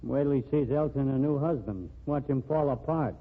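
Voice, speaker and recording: A man's voice: medium pitch, fairly quick, close to an everyday voice. An actor playing a stern, jealous man who warns a woman and threatens to expose a secret, in an old radio drama. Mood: warning. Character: jealous, warning male friend. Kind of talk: 1940s radio drama acting. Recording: tinny lo-fi old radio broadcast.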